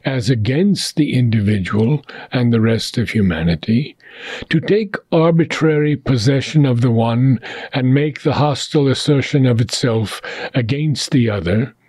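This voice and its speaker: A man reads a philosophy book aloud in short, measured phrases broken by long pauses. His voice is mellow and a little husky, unhurried throughout. The recording is dry and close, with no room sound. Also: mid-range voice, no room sound. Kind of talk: slow, deliberate reading aloud.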